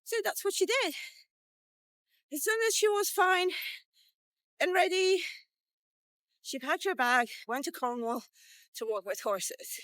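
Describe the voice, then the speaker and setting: A woman's voice: extremely high pitch, animated, slow pace, pauses often, fairly bright tone, no echo. Female vlogger, 50s: very leisurely pace, dry close sound, frank conversational storytelling with some swearing. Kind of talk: casual personal storytelling.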